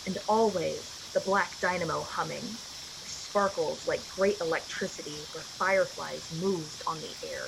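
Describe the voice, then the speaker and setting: A woman narrating a radio play in a measured, atmospheric, dramatic storytelling style. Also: on the low side, vocal fry, noisy background. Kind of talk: literary radio-play narration in 1940s manner. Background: music.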